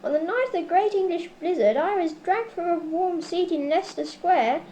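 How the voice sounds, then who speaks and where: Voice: very high-pitched, moderate pace, mellow, a little hiss or hum, poor audio. An amateur performer voicing an earnest, gullible heroic engine driver and narrator in a declamatory comic radio-play reading, on old cassette tape. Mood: grandly declaiming. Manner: theatrical, declamatory.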